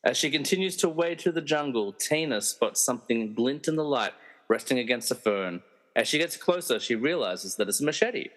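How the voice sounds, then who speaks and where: A man's voice: medium pitch, animated, normal speed, a bit bright, big-room sound. A man narrating a scripted radio play as a dramatic storyteller with wry asides.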